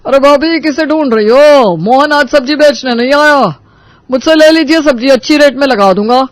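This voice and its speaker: A man's voice: extremely high pitch, moderate pace, no echo. A man playing a persistent market vegetable seller who coaxes and wheedles in a story. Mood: hawking, coaxing. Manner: coaxing, calling out wares.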